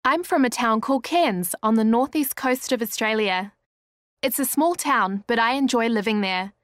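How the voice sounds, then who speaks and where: A woman acts as a friendly small-town Australian making scripted small talk. Her voice is fairly high, with a dry, close sound. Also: normal speed, dry recording, lightly acted. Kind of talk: scripted small talk for an English listening exercise.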